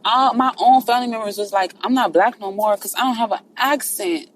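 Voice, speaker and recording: A woman from Texas with a mixed Texas accent, talking in a relaxed, conversational way. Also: fairly high pitch, a little fast, rough recording. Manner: relaxed, conversational, says "you see what I'm saying". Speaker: caller. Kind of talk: casual chat.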